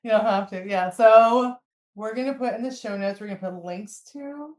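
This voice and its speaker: A woman's voice: fairly high pitch, moderate pace, a little mellow. A woman hosting a podcast in a casual, conversational style. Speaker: podcast host. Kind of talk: casual chat. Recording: echoey room.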